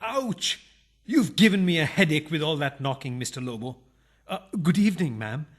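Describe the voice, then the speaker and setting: A man's voice: fairly high pitch, lively intonation, normal speed. A man acting a meek, whining, apologetic husband caught hiding, in a farcical radio play. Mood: pained, whining. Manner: whiny, apologetic.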